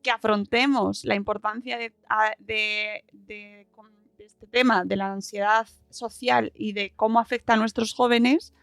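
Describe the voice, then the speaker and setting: A woman's voice: mid-range voice, fairly even intonation, unhurried, gravelly, fairly bright tone, no room sound. A woman from hosts a podcast in a casual, reflective way, feeling her way through her phrasing. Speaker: podcast host. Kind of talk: casual conversational interview.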